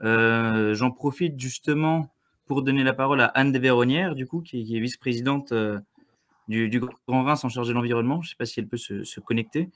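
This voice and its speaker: A young adult man hosts an online panel from a room on a video call. His pitch is middling, and he moves the session along haltingly, handing over to speakers with some hesitation. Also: fairly bright tone. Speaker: webinar moderator.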